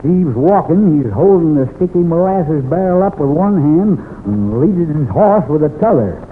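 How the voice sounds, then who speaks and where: An old radio western recording, narrow and tinny. A man plays a boastful old cowboy sidekick with a crusty rural Western drawl, pitched a little high. He talks in colorful, blustery homespun fashion. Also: lively intonation, normal speed, slight background noise, natural voice. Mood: excited. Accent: rural American West. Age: older. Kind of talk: 1940s-50s radio western acting.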